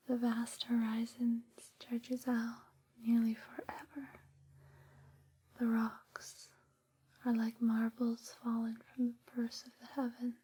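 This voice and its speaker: A woman whispering softly and breathily in a soothing, reassuring ASMR personal-attention roleplay, close to a binaural microphone. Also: on the high side, slightly rough, rough recording. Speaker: ASMR performer playing a space flight attendant guiding a sleep journey. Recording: close binaural with background hiss and clicks.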